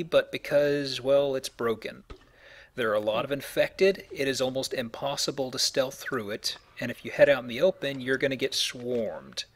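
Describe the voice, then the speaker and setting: A man hosting a Let's Play, explaining things in a casual, conversational commentary. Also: mid-range voice. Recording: faint background noise.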